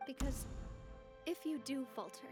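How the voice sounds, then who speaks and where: Young game heroine and boss: high voice, caring, resolute, dramatic delivery, light music underneath. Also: female voice, unhurried. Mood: sombre reflection. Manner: earnest, dramatic. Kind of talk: video game voice acting.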